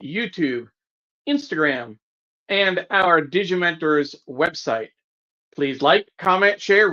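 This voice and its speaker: A man's voice: high-pitched, bright, forceful tone, highs cut off. A man co-hosting a live online show as its producer, welcoming viewers and reading out greetings. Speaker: executive producer and co-host.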